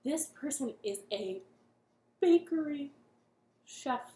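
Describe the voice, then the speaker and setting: A woman voicing an excited female monster chef who shouts eagerly, in a roomy echo. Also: high voice, takes it slowly.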